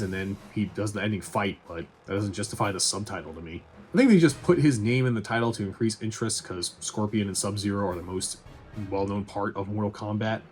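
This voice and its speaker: A man's voice: lowish voice, a little mellow. A man doing a casual, opinionated review voice-over in a blunt, sweary way with wry asides. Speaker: video essay host reviewing movies. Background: background music.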